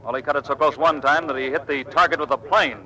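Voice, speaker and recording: An actor playing a sarcastic, needling American Navy pilot in an old-time radio drama. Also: male voice, on the high side, steady tone, quick pace, sharp tone, rough recording. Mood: dry sarcasm.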